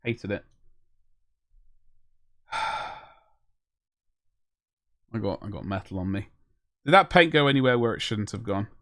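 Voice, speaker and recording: A man's voice: on the low side, expressive, measured pace, long pauses, a bit bright, dry recording, studio quality. A man chatting casually on a live stream as he paints miniatures, rambling and self-deprecating as he answers his chat.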